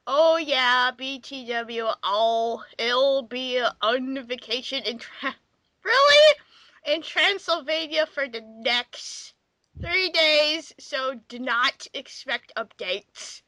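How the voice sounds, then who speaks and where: High, animated woman reading aloud. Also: slow, dry recording, rough recording. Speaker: player in a dramatic reading game. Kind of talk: dramatic comic reading aloud among friends.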